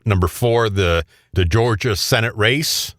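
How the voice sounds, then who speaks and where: A man's voice: on the low side, measured pace, fairly bright tone, no room sound, studio quality. A man co-hosting a radio show, bantering casually as he reads out countdown lists.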